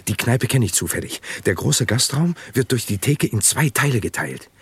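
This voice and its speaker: An actor playing a deferential, eager, slightly naive detective's assistant in a German radio crime drama. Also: male voice, medium pitch, lively intonation, moderate pace, husky, no echo. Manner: respectful, eager reporting to his superior.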